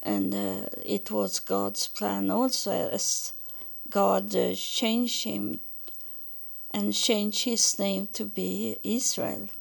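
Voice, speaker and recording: Very slow, gravelly Swedish-accented woman. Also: mid-range voice, expressive. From Sweden. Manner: halting, searching for English words, many pauses and fillers. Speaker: podcast host relaying spiritual messages. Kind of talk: slow spiritual monologue.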